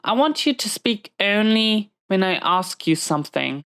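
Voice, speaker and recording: A woman's voice: mid-range voice, sing-song, measured pace, fairly bright tone, clean tone. A woman playing a dominant, guarded prison inmate, stern but secretly tender, in an intimate roleplay audio drama, giving clipped orders and hesitant confessions. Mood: hurt, stern.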